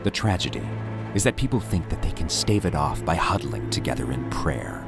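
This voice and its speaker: A menacing villain with an extremely low, rumbling voice full of gravel, played by a man. He taunts his captive slowly and deliberately. Dark music sits under his lines. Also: very animated, full character voice. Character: cold, scornful male traitor warrior villain. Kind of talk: fan audio drama acting.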